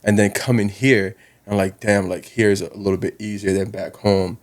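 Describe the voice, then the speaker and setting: Low-voiced man in casual podcast chat. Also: level tone, normal speed. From South Sudan. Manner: informal, slangy, frequent "you know". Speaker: guest, college basketball coach.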